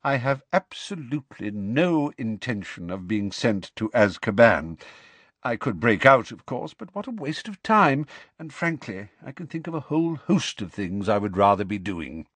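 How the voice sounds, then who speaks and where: A man acts out an old headmaster from a storybook, serene and quietly amused. His courteous speech rises and falls freely, lifted above a plain narrating pitch, with no room sound. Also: big pitch swings, no echo. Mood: gentle, apologetic. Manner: gentle, pleasant, unhurried courtesy. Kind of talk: audiobook character acting.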